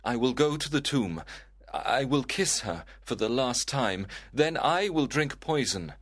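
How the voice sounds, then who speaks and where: A man playing a desperate, grief-stricken young lover in a dramatised audiobook, speaking deliberately and dramatically. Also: mid-range voice, lively intonation, slightly rough. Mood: grimly resolved. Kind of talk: dramatised graded-reader audiobook.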